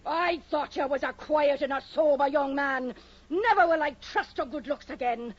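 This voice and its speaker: Actress doing outraged landlady telling someone off, super high shrill voice, old radio play, muffled. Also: normal speed, natural voice. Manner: scolding, indignant. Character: indignant, scolding working-class landlady, shrill and respectable. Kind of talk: radio horror drama acting. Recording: dull, lo-fi vintage radio recording.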